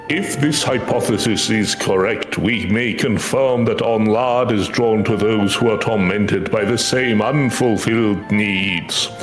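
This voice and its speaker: Echoey, low-voiced courteous familiar played by a man. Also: close to an everyday voice. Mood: earnest, urgent. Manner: formal, eloquent, measured. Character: courteous, earnest male magical familiar who hunts nightmares. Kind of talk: video game quest dialogue read aloud. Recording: echoey voice over game music.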